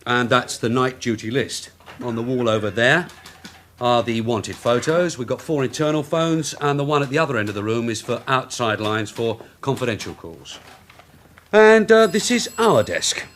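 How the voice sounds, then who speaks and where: An actor as a wry streetwise London sergeant. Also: male voice, medium pitch, animated, normal speed, fairly bright tone, a little hiss or hum, close to an everyday voice. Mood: dry. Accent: British. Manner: dry, sardonic asides. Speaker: detective sergeant. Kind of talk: 1980s radio drama acting.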